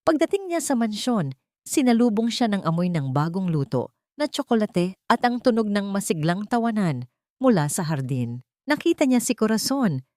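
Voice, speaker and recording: A woman with a Filipino accent narrating a love-story audio drama in a measured, descriptive way. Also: medium pitch, mellow, dry recording, clean studio sound.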